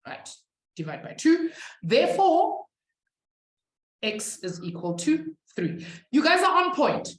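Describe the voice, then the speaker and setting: Woman, young adult, maths teacher, non-native English speaker, talkative, slightly high voice, roomy echo. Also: big pitch swings, takes it slowly. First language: not English. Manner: chatty, reads out student chat, laughs at herself. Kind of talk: live online maths class.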